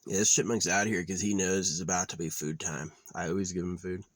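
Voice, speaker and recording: A young man talking in a rambling, offhand way at a quiet outdoor campsite, often trailing off with filler. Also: low voice, steady tone, fairly quick, dry recording. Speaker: vlogger. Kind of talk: rambling casual monologue.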